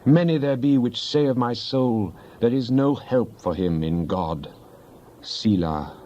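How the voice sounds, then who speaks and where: A man's voice: medium pitch, measured pace, dark tone. A man giving a solemn, declamatory dramatic recitation of psalms as a scripture reader. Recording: dull lo-fi, faint background noise.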